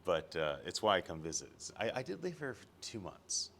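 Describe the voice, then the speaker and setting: An American man hosting a relaxed interview, rambling and chatty with lots of fillers. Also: deep voice, a little fast, creaky, a little hiss or hum. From United States. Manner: rambling, chatty, laughs often, trails off with fillers. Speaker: host. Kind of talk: casual interview. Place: room at an event in London.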